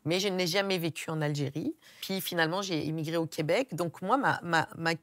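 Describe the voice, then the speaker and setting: A woman from France speaking about her identity in a measured way, stumbling now and then. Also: on the low side, fairly bright tone, no echo. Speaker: discussion participant. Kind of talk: personal testimony.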